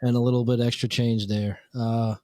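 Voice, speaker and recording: A man co-hosting a casual podcast, relaxed and blunt, swearing now and then and answering in short bursts. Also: medium pitch, normal speed, no echo, studio quality. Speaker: podcast co-host. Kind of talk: casual chat.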